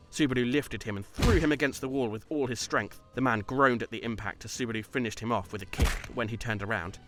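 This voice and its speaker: Quick, low-voiced male story narrator. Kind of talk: scripted audiobook-style story narration.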